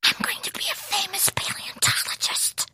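A woman's voice: very high-pitched, sing-song, drawn-out words, heavy background noise, voice pushed up, heavily acted. Woman voicing a boastful little boy kitten, swooping and whispery. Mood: breathy wonder. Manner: dramatic, whispery, breathy exclamations.

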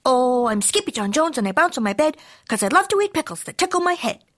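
A woman's voice: very high voice, very animated, very slow, dry recording, clean studio sound, voice pushed up, exaggerated voice. Woman voicing a boastful little boy kitten, swooping and whispery. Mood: gleeful boasting. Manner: dramatic, whispery, breathy exclamations.

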